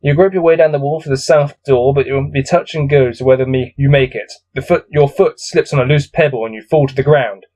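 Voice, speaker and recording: A man with a mid-pitched voice hosts a solo gamebook read-through. He reads the text aloud and muses over it in a casual, self-deprecating way. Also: brisk, few pauses, dark tone. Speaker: gamebook let's-play host.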